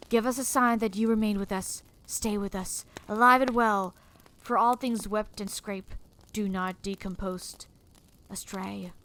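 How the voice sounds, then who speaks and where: A woman telling a horror story in a grave, dramatic way, dropping to a hush at times. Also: medium pitch.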